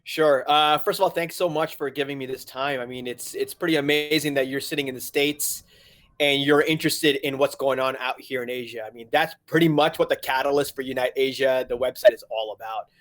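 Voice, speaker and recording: Animated man in his forties, from Hong Kong. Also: medium pitch, a little fast. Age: 40s. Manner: animated storyteller, laughs often, acts out his own past thoughts. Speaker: guest, website founder. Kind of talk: casual interview conversation.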